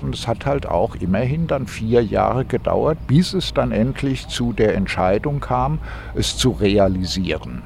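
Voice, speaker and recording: A man with a Hessian accent talks in a low, gravelly voice, pausing to think as he goes. He is recorded outdoors in a park. A faint hiss sits under the recording. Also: animated, a little mellow. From Frankfurt, Germany. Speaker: architect, interview guest. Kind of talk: casual outdoor conversation.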